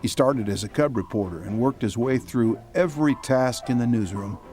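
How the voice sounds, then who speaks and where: A man's voice: medium pitch, normal speed, dark tone, soft background music. A man hosting and announcing an audio drama series in a scripted radio narration style. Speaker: announcer and host.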